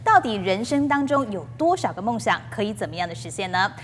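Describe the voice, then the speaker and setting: A woman's voice: medium pitch, sing-song, fast. A woman in her thirties hosting a talk show, interviewing a guest and switching between Mandarin and English. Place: TV talk show studio set with sofas. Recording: reverberant room with background noise.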